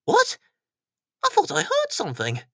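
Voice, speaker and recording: A man voicing an excitable, easily frightened female talking plant, with shrieks and stammers, in a children's story. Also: very high-pitched, moderate pace, no echo, studio quality, voice pushed up, heavily acted. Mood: startled.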